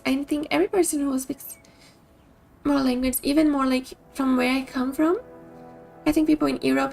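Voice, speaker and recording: A woman's voice: sits high, vocal fry, darkish tone. A woman from Brazil with a Brazilian Portuguese accent, a streamer chatting casually with her viewers and often restarting sentences as she searches for words.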